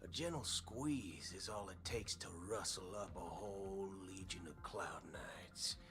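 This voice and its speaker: A man's voice: sits low, measured pace. A man playing a swaggering, folksy cowboy gunslinger from space in a video game, with a theatrical American Western drawl. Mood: amused swagger. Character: swaggering male cowboy galaxy ranger gunslinger, folksy and amiable. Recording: game music behind the voice.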